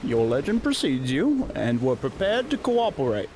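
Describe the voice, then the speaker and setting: An actor playing a seasoned, level-headed merchant ship captain, gruff and plain-spoken, in an audio fantasy drama. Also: male voice, fairly high pitch, huge pitch swings, normal speed. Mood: guarded. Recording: loud ship and water noise behind.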